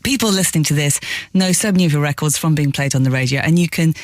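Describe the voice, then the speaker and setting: A woman hosting a radio show in a studio, interviewing a guest and introducing songs, with brisk friendly "mm"s. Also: sits low, a little mellow, no echo. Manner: brisk, friendly back-channel "mm"s. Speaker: radio host.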